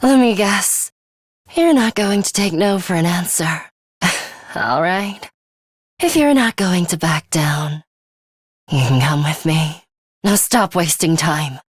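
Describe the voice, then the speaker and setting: A proud young woman from a dramatic video game. She speaks very slowly and haughtily in a breathy voice, recorded close and dry. Beneath the imperious manner she is fatalistic and quietly caring. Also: lowish voice, animated, no room sound, heavily acted. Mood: exasperated, relenting. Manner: haughty, deliberate, breathy delivery with hushed drops.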